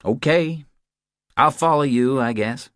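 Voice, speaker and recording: A man's voice: mid-range voice, takes it slowly, a bit bright, no echo, clean studio sound, voice pushed up. A man voicing a polite, obliging Southern man of about thirty, slightly self-important, in audiobook dialogue. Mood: hesitant, agreeable.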